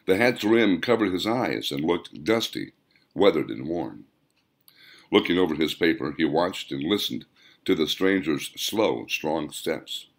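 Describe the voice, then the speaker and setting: A man narrates an audiobook in a deep, low register. The delivery is measured and deliberate, broken into short phrases, with lively intonation that moves widely up and down. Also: vocal fry. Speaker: author narrating their own audiobook.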